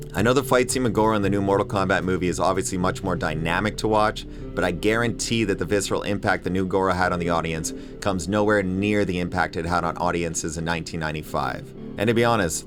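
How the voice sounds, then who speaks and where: A man delivering an opinionated, emphatic scripted video essay as host, with casual swearing. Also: low-pitched. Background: music bed under voice-over.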